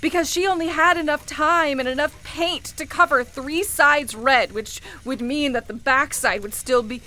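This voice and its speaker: An actress playing a grieving, skeptical woman in a radio play, emotional and halting, easily shaken as she speaks. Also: high voice, sharp tone. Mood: bitter, accusing. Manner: halting, stammering, dramatic. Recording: music behind the voice.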